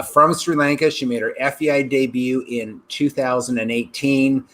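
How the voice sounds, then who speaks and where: A man presents a show with a medium-pitched voice. He talks effusively as a host, leaning on superlatives as he introduces and questions. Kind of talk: hosting an online interview show.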